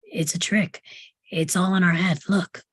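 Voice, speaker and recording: A woman's voice: lowish voice, fairly even intonation, a little slow, slightly rough, no echo. A woman playing a wounded male agent, grim, weary and stubborn, at a script table read. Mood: urgent, insistent.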